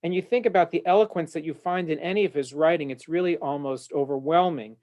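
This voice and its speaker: Earnest rabbi guy on a video call from his office, eloquent, kinda high voice, lots of uhs. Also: fast talker, a little mellow. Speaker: rabbi panelist. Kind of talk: panel discussion remarks. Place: home office.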